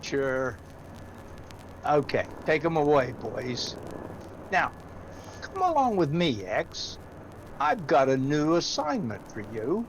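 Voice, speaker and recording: A man playing an easygoing but authoritative police chief, gruff and unhurried, in 1940s radio style. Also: on the high side, very animated, background noise, full character voice. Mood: relaxed, businesslike. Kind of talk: 1940s radio drama acting.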